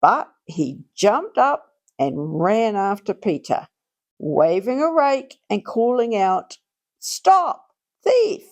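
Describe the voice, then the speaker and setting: Slow, animated woman reading children's stories. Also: mid-range voice. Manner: expressive, deliberate delivery for young children. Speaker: children's story channel host and reader. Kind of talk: read-aloud children's storytelling.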